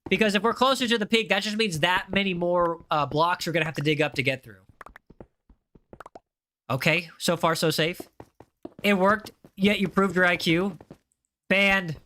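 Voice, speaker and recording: A man's voice: sits high, steady tone, moderate pace, long pauses, pushed and bright, a little hiss or hum. A young man live-streaming a game, chatting casually with his viewers and often repeating himself. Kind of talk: live gaming stream chat.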